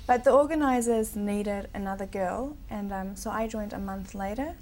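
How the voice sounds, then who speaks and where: A woman in her twenties from South Africa, Afrikaans her first language, chatting earnestly and brightly as an interview guest. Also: medium pitch, moderate pace, a little hiss or hum. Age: 20s. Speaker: interview guest, pageant titleholder. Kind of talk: casual interview chat.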